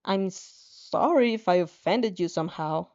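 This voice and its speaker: A woman's voice: on the low side, a little slow, darkish tone. A woman voicing a frustrated teenage girl heroine, earnest, polite but defiant, in a story reading with lo-fi sound. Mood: strained politeness. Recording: dull lo-fi sound.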